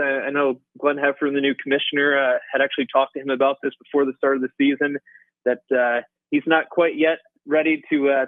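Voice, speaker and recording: A man's voice: on the high side, no room sound. A man speaking as a guest hockey reporter in a casual sports radio interview over a phone line, with hesitant phrasing and repeated verbal tags. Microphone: phone call.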